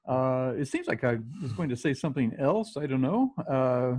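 A man's voice: mid-range voice, very animated, moderate pace, darkish tone. An older man hosting a video call, rambling through introductions with many fillers. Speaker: host of a reunion call. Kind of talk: casual video call chat.

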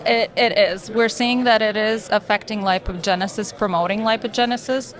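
A woman's voice: mid-range voice, steady tone, moderate pace. A woman in her twenties from Lebanon, a graduate student explaining her research in a podcast interview, with many ums and restarts. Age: 20s. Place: conference hall booth with busy crowd behind. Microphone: table mic on stand, wearing headphones.

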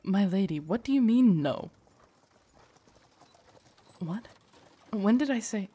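A woman's voice: mid-range voice, lively intonation, takes it slowly, mellow, breathy edge. A woman playing a devoted, earnest female knight in an audio drama, speaking in a formal, courtly way that turns hesitant and shy. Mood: troubled, protective. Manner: formal, courtly, hesitant and stammering when flustered. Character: devoted female knight, tender, earnest, shyly lovestruck royal guard. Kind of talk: roleplay audio drama, intimate scripted monologue.

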